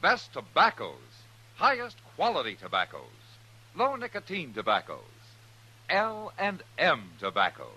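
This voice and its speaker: A man's voice: on the high side, huge pitch swings, a little slow, sharp tone, husky, low-quality recording. A man announcing a 1950s radio commercial with punchy, emphatic salesmanship. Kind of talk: 1950s radio advertising pitch.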